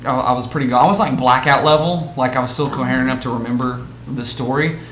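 A man's voice: mid-range voice, steady tone, fast, fairly bright tone. A man with a Texas accent, chatting casually on a podcast and telling stories, with frequent laughs and restarts. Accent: Texan. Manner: laughs often, trailing restarts. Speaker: podcast host. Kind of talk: casual chat, storytelling. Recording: echoey room, faint background hiss.

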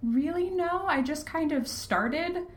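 A woman chatting casually as a podcast guest, candid and self-deprecating, quick to laugh. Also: sits high, animated. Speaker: podcast guest, fiction podcast creator and writer. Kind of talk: casual interview chat. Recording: roomy, echoey sound.

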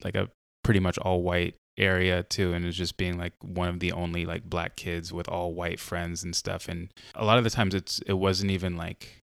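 A man hosting a casual interview, asking questions in a relaxed way and giving short affirming replies. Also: deep voice, normal speed, gravelly, clean tone, no echo, clean studio sound. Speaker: host.

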